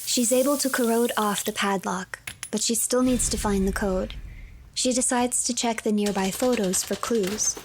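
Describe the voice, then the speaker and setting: A woman streamer giving measured, scripted story narration of a video game and reading its files aloud. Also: fairly high pitch, steady tone, noisy background.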